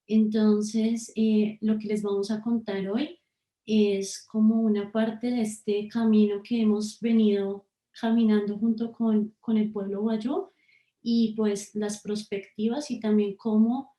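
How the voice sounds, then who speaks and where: A woman's voice: mid-range voice, flat delivery, takes it slowly, dark tone, reverberant. A woman researcher leading an online workshop, speaking hesitantly with many fillers over a video call. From Colombia.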